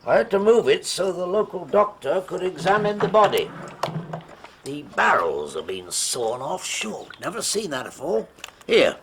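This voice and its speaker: Creaky, animated country detective. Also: male voice, high-pitched, measured pace, slight background noise, full character voice. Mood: proud, businesslike. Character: proud male country police detective, eager and slightly prickly. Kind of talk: radio drama acting.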